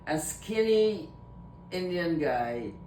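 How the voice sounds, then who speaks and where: A woman's voice: lively intonation, takes it slowly, dark tone. A woman from Trinidad and Tobago with a Trinidadian accent, talking casually to camera in a rambling way and often repeating herself. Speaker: vlogger. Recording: echoey room, mic at a distance, faint rumble.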